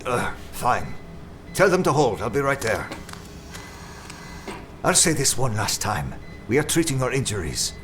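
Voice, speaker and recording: An actor playing an officious, exasperated hospital doctor in an audio drama, formal and insistent. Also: male voice, medium pitch, very animated, a little slow, pauses often, fairly bright tone, faint music behind. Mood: strained, stern.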